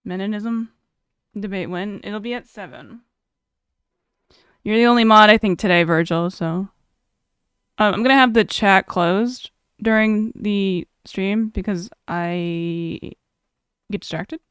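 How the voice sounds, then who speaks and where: A woman's voice: mid-range voice, slow, long pauses, a bit bright, smooth, dry recording, clean studio sound. A young woman streamer chatting casually, reading chat aloud and laughing often.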